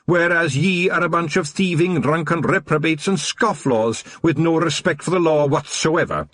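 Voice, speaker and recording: A man's voice: a little high, normal speed, a bit bright, dry recording, studio quality, voice pushed up. A man voicing a tiny Scottish fairy watchman, stubborn, earnest and easily indignant. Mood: satisfied.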